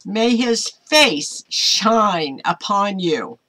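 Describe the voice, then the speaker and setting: An older American woman speaks to her online Bible study group in an informal devotional style. Her delivery is deliberate and halting, with phrases sometimes drawn out, and her pitch sits in a middle range for a woman. She is recorded at a desk in a small home office. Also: animated, pushed and bright. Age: older, around 70s. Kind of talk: informal devotional talk to camera with scripture reading.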